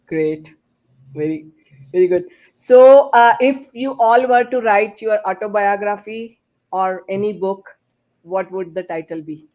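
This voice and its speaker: Slow-paced podcast host with halting delivery. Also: mid-range voice, darkish tone, rough recording. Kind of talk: hosting a panel discussion.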